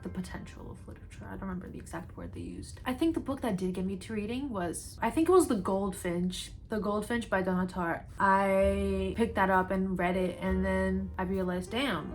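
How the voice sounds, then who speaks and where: Twenty-something woman, Brazilian accent with an American lilt, relaxed rambling chat-style delivery, mid-pitched. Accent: Brazilian with American-learned English. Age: 20s. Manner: chatty, rambling, slang-heavy. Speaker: book vlogger. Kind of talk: casual sit-down Q&A chat.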